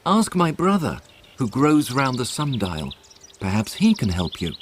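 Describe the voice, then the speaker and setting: A man's voice: medium pitch, huge pitch swings, measured pace, slight background noise, pitched above the performer's own voice. Guy as a talking rose tree in a storybook, mid-range voice, sorry but helpful, very polite. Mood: regretful, helpful. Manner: polite, regretful.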